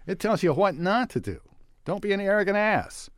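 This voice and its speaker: Lively, thoughtful male radio host. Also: high-pitched, normal speed, dry recording, clean studio sound. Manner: thoughtful, explanatory. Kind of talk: scripted radio banter.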